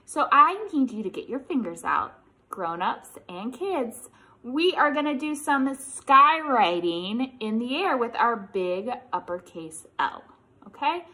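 A woman's voice: fairly high pitch, takes it slowly, poor audio. An American woman in her thirties leading a children's storytime, with an exaggerated, encouraging way of talking to young kids. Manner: animated, encouraging, exaggerated child-directed delivery with questions. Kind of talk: preschool lesson and picture-book read-aloud.

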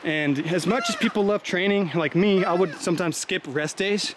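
A young man with a slightly high voice rambles and jokes as he hikes through the woods, sounding out of breath. He films himself at arm's length, and outdoor noise is clearly heard behind him. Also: normal speed. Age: young adult. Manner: chatty, joking, rambling with fillers. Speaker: vlogger. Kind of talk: casual vlog while hiking. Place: wooded trail outdoors. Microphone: handheld camera at arm's length.

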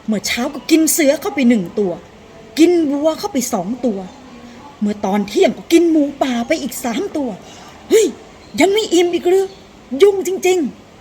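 A woman voicing a wily father goat who shouts and scolds gruffly as a scary monster in a children's folktale. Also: high-pitched, big pitch swings, moderate pace, a little hiss or hum, pitched above the performer's own voice. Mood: exasperated bluster. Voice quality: gruff put-on voice.

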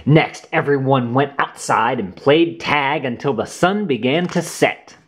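A man reading a children's storybook aloud as host, in an animated, deliberate way for young listeners. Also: medium pitch, breathy edge. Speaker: children's book reader and channel host.

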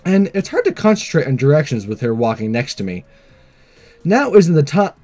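A man's voice: fairly high pitch, warm tone. A man hosting a let's play, reading a visual novel aloud with casual commentary.

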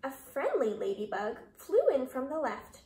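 High, animated young woman reading to children. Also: moderate pace. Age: young adult. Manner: animated, questioning, addressing children. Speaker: kindergarten read-aloud reader. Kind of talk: expressive children's story reading. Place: small living room, indoors. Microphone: camera a short distance away. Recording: roomy home recording.

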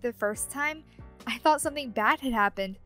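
A woman playing a friendly, teasing young ghost roommate in an ASMR roleplay audio drama, chatty and expressive. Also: fairly high pitch, moderate pace, soft background music.

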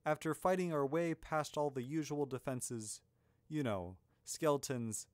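A man voicing a young adventuring wizard in a fantasy audiobook, earnest, excitable and blithely matter-of-fact. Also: fairly high pitch, measured pace, no room sound, clean studio sound, voice pushed up, lightly acted. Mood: blithe storytelling.

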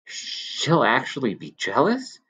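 A man's voice: medium pitch, sing-song, takes it slowly, a bit bright, voice pushed up, full character voice, rough recording. A man voicing an eager, naive young man in love, earnest and a little hesitant. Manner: earnest, hesitant, breathless delight.

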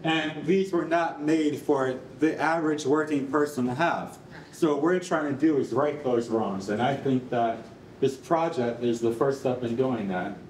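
A young man giving an informal, animated live presentation in a conversational way, as a project presenter. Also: mid-range voice, measured pace. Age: young adult. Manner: conversational, animated, frequent "like" and "you know". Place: concrete-walled rehearsal hall before an audience. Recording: roomy, distant mic, faint noise.

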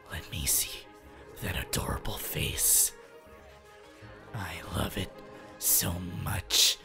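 A creepy young anime villain whispers low and slow, rasping with obsessive, possessive menace. It is an intimate ASMR roleplay over faint music. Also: male voice, full character voice.

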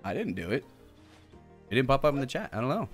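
A man's voice: mid-range voice, big pitch swings, fast talker. A man co-hosting a game livestream with casual, playful banter, sometimes reading game text aloud. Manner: playful, jokey. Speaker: game streamer co-host. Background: game music.

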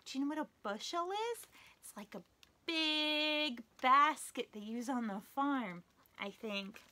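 A young woman as a children's librarian reading a picture book aloud at story time, animated and sing-song, with playful animal noises. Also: high-pitched, unhurried. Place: small indoor room, wood-panelled.

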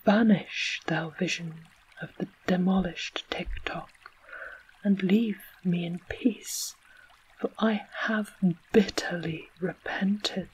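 Storybook gnome king, fat and blustering, read by the narrator; soft whisper, very slow. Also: mid-range voice, steady tone, husky, lo-fi sound. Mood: frightened.

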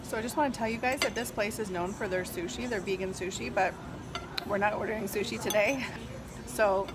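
A woman in her thirties chats eagerly into a phone held at arm's length, raving about food as she eats. Her voice sits at an ordinary middle pitch. Loud music plays behind her in the restaurant. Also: steady tone, fairly quick. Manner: chatty and enthusiastic, talking to camera. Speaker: food vlogger. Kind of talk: casual restaurant review vlog. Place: indoors in a restaurant, later outdoors by a parking lot. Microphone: phone camera held at arm's length.

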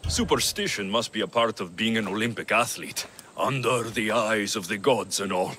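A man's voice: fairly low pitch, normal speed, sharp tone, a little hiss or hum. An actor playing a wry, self-assured male mercenary warrior of ancient Greece in a video game. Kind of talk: video game character acting.

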